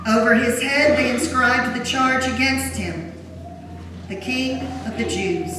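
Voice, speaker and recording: A woman's voice: sits high, slow. Distant reverberant church, faint noise, woman narrator, solemn dramatic scripture reading. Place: large church during a service.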